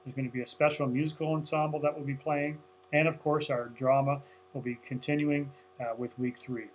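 A man's voice: a little high, level tone, brisk, a little hiss or hum, rough recording. A man in his forties, a pastor, recapping a sermon to camera from a small office in an earnest, conversational way with many fillers. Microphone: webcam at desk, close framing.

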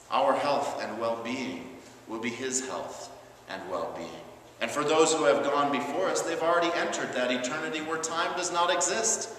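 A man's voice: fairly high pitch, a bit bright. Echoey male pastor preaching a sermon. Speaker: Lutheran pastor. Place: large church, preaching from a pulpit. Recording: echoey church hall with faint noise.

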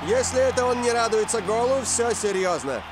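A man voicing an excitable, theatrical football match commentator in a cartoon. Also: very high-pitched, moderate pace, background noise. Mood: excited. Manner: theatrical, emphatic. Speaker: cartoon voice actor. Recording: music behind the voice.

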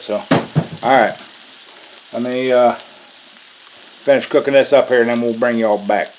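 Guy cooking at home, laid-back folksy chatter, griddle hissing loud behind him, camera mic. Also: medium pitch, rough recording. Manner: folksy, easygoing, talks while working. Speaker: cooking channel host. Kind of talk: casual cooking demo. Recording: loud sizzling griddle with hiss.

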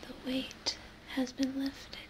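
Very slow whispering young woman, ASMR. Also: sits high, completely flat delivery. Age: young adult. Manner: gentle, soothing, reassuring. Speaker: ASMR role-play host. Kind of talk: whispered ASMR role play. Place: small room indoors.

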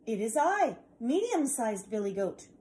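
A woman's voice: on the high side, very animated, a little slow, dark tone. Woman voicing a medium-sized billy goat. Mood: pleading.

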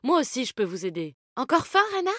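An actress playing a tough, animal-loving female fighter in a video game, speaking in a brisk, confident, slangy way. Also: a little high, big pitch swings, a bit bright, no echo, clean studio sound. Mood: confident, rallying. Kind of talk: video game character voice lines.

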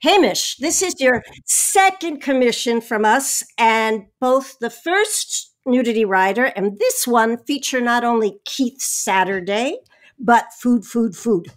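A woman's voice: on the high side, animated, slow pace. A woman hosting a radio show, presenting credits and putting questions to guests. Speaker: host.

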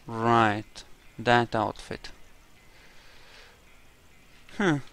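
Slow-paced man doing casual game commentary. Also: medium pitch, a bit bright, smooth. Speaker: gamer narrating a playthrough. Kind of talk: casual let's play commentary, in-character roleplay.

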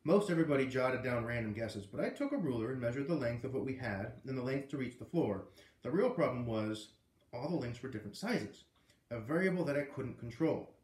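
A man's voice: medium pitch, lively intonation, fairly quick, a little mellow. Man reading aloud in an echoey room. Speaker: read-aloud host. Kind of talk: casual storybook read-aloud with chatty asides. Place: living room, indoors. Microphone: camera a few feet away. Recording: roomy, echoey home recording.

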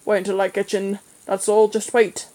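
Woman as wizened old seaman, gruff, crusty, kindly underneath, storybook character. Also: mid-range voice, flat delivery, a little fast, darkish tone, natural voice, poor audio. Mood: cross. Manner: cross, gruff, grunting.

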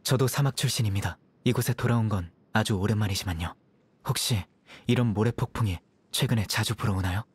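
A man's voice: fairly low pitch, fairly quick, slightly rough. A man voicing a stern, cool young desert law enforcer in a video game, blunt and composed. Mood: grave.

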